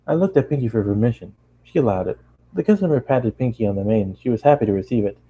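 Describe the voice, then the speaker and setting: A man's voice: medium pitch, expressive, quick pace, slightly rough, lo-fi sound. A man narrating a first-person story as an amateur reader.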